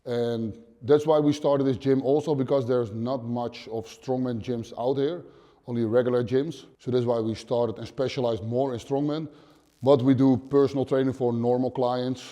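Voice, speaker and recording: Thirties Dutch man, gym owner, blunt halting interview talk, mellow dark voice, dim gym. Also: mid-range voice. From Netherlands. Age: 30s. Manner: plain, blunt, swears casually, short halting phrases. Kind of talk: casual interview answers.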